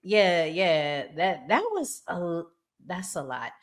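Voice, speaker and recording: Low-voiced woman host, slow and expressive. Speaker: talk show host. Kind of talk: casual discussion.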